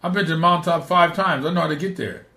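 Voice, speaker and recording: A middle-aged man with a naturally rough voice, hosting a podcast and giving emphatic, repeated advice in casual chat. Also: fairly high pitch, quick pace, sharp tone, echoey room. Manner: emphatic, repeats points for stress. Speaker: podcast host.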